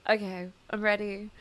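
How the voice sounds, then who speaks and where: A tearful, emotional woman streamer chatting casually as she reacts to a game. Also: medium pitch. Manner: emotional, giggly, exclamatory. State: tearful from crying. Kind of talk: live playthrough reaction, casual chat.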